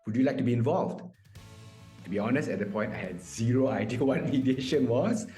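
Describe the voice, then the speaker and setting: A man's voice: medium pitch, fairly quick, warm tone, soft background music. A man in his fifties from Malaysia answering interview questions conversationally, explaining things with asides and self-corrections. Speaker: interview guest, mediator. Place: small indoor room. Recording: echoey room on video call.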